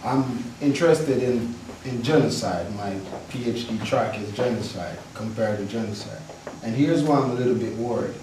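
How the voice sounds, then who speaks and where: A man's voice: mid-range voice, normal speed, background noise. Young guy from the audience asking a question, mid voice, echoey hall, far from the mic. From Jamaica, raised in the United States.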